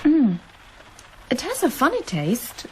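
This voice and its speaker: A polite young woman visiting a family in a radio drama. Her voice is light, fairly high and very varied in tone. She takes her time, with noticeable noise behind her. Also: wildly swooping pitch, slow pace, darkish tone, exaggerated voice. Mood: polite, amused. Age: 20s. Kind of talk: naturalistic radio drama acting.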